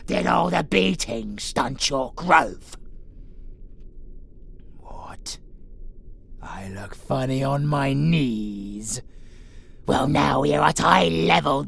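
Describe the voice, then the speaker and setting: A man playing a menacing vampire abomination in a monster audio roleplay, theatrical and taunting, with a gravelly growl. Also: medium pitch, exaggerated voice. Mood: mocking, fond. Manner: theatrical, taunting, foul-mouthed, swinging from whispers to shouts. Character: menacing vampire abomination, theatrical, sadistic, grudgingly fond predator. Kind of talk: monster audio roleplay, dramatic villain monologue.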